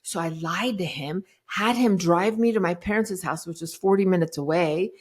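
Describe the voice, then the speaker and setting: A middle-aged woman, a life coach guest on a podcast, telling her story in a lively, dramatic way. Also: lowish voice, moderate pace. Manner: animated, dramatic retelling with acted-out quotes. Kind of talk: casual interview storytelling.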